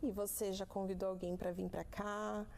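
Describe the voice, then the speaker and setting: A woman's voice: medium pitch, level tone, normal speed, vocal fry, darkish tone. A Brazilian woman hosting a youth podcast, asking questions in a casual conversational style. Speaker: host. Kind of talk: casual interviewing.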